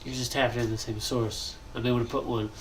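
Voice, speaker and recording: Stuffed-up young gamer host, loose thinking-aloud delivery, middle pitch, light background hiss. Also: male voice, fairly even intonation, fast. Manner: rambling, thinking aloud, frequent coughs and throat clearing. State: congested, nasal. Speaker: gaming channel host. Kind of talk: casual commentary while building in a game.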